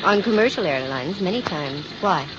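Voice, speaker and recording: A woman's voice: on the low side, animated, normal speed, noisy background, natural voice. An American actress playing a wry, self-assured female private investigator in a 1950s radio drama, with dry wisecracking delivery. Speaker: lead actress and narrator. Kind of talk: 1950s radio detective drama acting, with first-person narration. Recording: muffled old radio recording, music behind.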